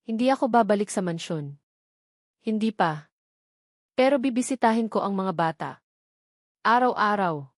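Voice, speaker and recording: A woman voicing a hurt but firm young woman in a romance audio drama, speaking calmly and deliberately. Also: medium pitch, no room sound, clean studio sound, lightly acted.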